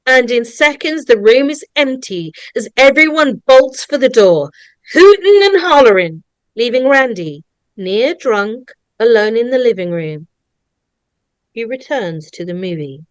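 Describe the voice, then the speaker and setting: A woman's voice: a little high, lively intonation, unhurried, clean tone, no room sound, low-quality recording. A woman narrating at a script table read, reading out screenplay stage directions.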